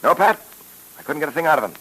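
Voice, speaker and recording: An actor playing a tough, alert police detective in a brisk, commanding 1930s radio serial style. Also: male voice, a little high, very animated, pushed and bright, husky, full character voice, low-quality recording. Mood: matter-of-fact. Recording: hissy 1930s radio broadcast recording.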